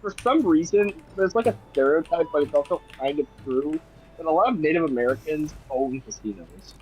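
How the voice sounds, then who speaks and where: A man's voice: fairly high pitch, lively intonation, a little fast, poor audio. A young man joking around in a casual group voice chat, laughing and hesitating often. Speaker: friend in voice chat.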